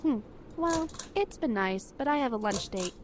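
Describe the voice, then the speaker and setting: A woman playing a haughty, sharp-tongued young 1930s heiress, formal and dismissive, in a noir audio drama. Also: a little high, animated, normal speed, background noise, natural voice. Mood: cool, teasing.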